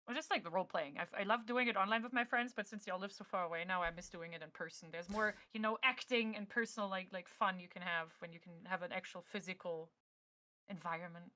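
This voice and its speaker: A woman's voice: lowish voice, fairly even intonation, quick pace, pushed and bright. A woman chatting casually and effusively as a guest on a livestream. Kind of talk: casual chat.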